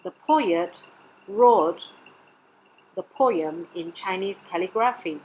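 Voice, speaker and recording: A woman whose first language is Mandarin, reading her art research presentation in a measured, deliberate way. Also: on the low side, a little mellow, husky, low-quality recording. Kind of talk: read-aloud research presentation.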